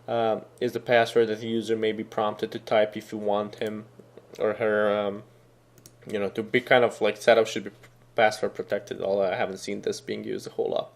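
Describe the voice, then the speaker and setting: A man whose first language is Serbian, explaining a software installer step by step in a casual, thinking-aloud way with frequent fillers. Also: fairly low pitch, little pitch movement, normal speed, vocal fry. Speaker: software tutorial instructor. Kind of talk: screen-recorded coding tutorial.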